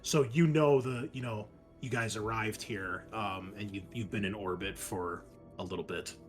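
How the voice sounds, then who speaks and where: A man running a tabletop roleplaying game, narrating and explaining casually in a halting way. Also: lowish voice, music in the background. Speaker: game master.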